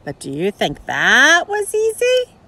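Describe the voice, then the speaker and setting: A woman's voice: high-pitched, wildly swooping pitch, takes it slowly, sharp tone, slight background noise, voice pushed up, exaggerated voice. A woman doing a boastful chameleon. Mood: emphatic.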